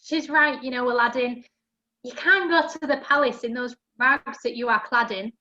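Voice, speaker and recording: A woman's voice: a little high, moderate pace, pushed and bright, dull audio. A woman playing a cheeky, outspoken sister in a rhyming pantomime. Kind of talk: rhyming amateur pantomime acting and narrating.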